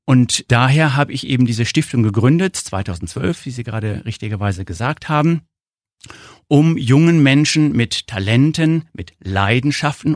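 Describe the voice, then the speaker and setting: Male interview guest, 50s, North German accent, mid-range voice, measured and explanatory, close dry sound. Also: medium pitch, no echo. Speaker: interview guest, foundation founder. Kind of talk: conversational interview answers.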